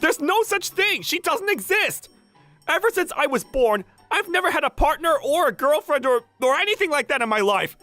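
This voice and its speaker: A woman's voice: high-pitched, normal speed. A woman voicing a flustered, lovestruck young working man who gushes and stammers in a manga dub. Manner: excitable, stammering, gushing. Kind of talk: manga dub voice acting with narration. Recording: music under the voice.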